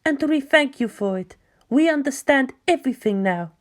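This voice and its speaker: A woman voicing a devoted, bitter young female follower in a scripted story, with measured, disdainful delivery. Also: sits high, animated. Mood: devoted, assured. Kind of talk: scripted audio drama reading.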